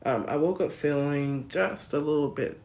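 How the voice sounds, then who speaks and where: A woman with a naturally deep voice, hoarse while ill, telling a casual, rambling personal story and correcting herself as she goes. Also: normal speed, darkish tone. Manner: rambling, self-correcting, conversational. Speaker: vlogger.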